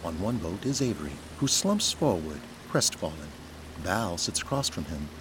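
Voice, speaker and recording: A man's voice: low voice, very animated, moderate pace, background noise. A man narrating an audio drama in a scripted, dramatic storytelling style. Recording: music behind the voice.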